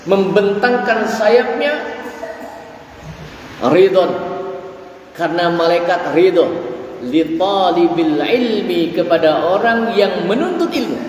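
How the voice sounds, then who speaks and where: A man in his forties preaches at dawn in a high, ringing voice, drawing his phrases out and reciting Arabic verses. He sounds distant from the microphone in a big echoing hall, and a faint hum sits behind him. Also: a little mellow. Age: 40s. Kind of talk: dawn mosque sermon. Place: mosque. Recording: echoey hall, voice from far off.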